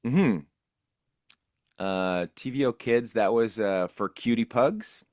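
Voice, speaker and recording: A man's voice: fairly low pitch, animated, slow, darkish tone, no echo, studio quality. A male voice actor casually recalling past jobs in an interview, in an easygoing, rambling way. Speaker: voice actor guest.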